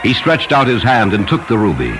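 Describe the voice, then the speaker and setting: Very low-voiced man narrating a story. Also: wildly swooping pitch, a little fast, over music, poor audio. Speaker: audiobook narrator. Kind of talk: scripted dramatic storytelling narration.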